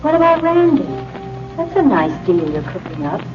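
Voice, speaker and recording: A woman's voice: mid-range voice, sing-song, moderate pace, close to an everyday voice. An actress playing a young woman's nagging conscience in a mocking, sardonic 1940s radio style. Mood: mocking, insistent. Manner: sardonic, needling. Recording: dull old 1940s radio, music behind.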